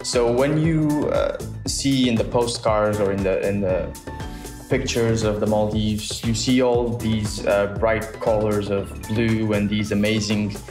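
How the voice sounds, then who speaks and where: A young man from the south of Portugal, a founder answering interview questions thoughtfully with many pauses and restarts. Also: fairly low pitch, steady tone. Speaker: startup founder, guest. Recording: roomy sound with music bed.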